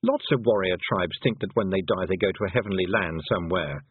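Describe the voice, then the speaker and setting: Pedantic talking toad, read by a man, matter-of-fact, husky, phone-tinny storybook. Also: low voice, fairly quick, no echo. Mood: dry.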